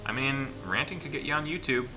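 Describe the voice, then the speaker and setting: A man's voice: mid-range voice, a little fast, rough recording. A man hosting a group let's play, reading a visual novel aloud theatrically and breaking off for casual joking asides. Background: game music.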